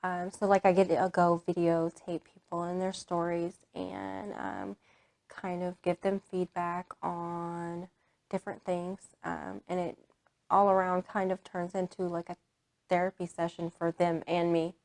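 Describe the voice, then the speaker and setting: A woman's voice: fairly low pitch, measured pace, darkish tone. A young woman from Kansas answering interview questions about her volunteer service, candid and earnest, with many "um"s and "like"s. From Kansas, United States. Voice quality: many filler words and "like" restarts. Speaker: AmeriCorps VISTA member.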